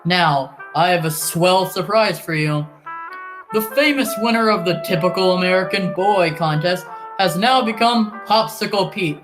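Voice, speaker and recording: A woman's voice: fairly low pitch, measured pace, music in the background, close to an everyday voice, low-quality recording. A woman playing an enthusiastic old-time radio serial announcer, showy and storytelling in delivery. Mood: enthusiastic, inviting.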